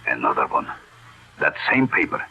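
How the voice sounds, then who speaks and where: A suspicious male doctor in an old radio drama. His voice is deep and husky with a very sharp, forceful edge, and it moves expressively through deliberate, ominous warnings. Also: big pitch swings, dull audio, slight background noise, exaggerated voice.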